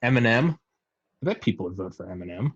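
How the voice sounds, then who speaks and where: A man's voice: fairly low pitch, animated, fairly quick, slightly rough, no echo, highs cut off. A man in his thirties chatting casually, rambling and swearing while he jokes. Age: 30s. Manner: profane, rambling, joking. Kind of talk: casual podcast banter.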